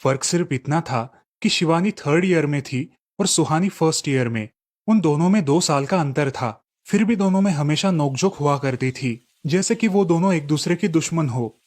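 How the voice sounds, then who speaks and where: A man's voice: fairly high pitch, moderate pace, darkish tone. A man reading scripted storybook narration for a cartoon tale.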